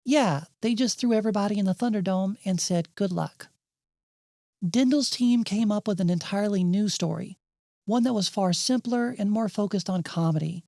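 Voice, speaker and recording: A woman's voice: mid-range voice, moderate pace, mellow, no room sound, studio quality. A woman hosting and narrating a scripted video essay. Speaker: narrator and host.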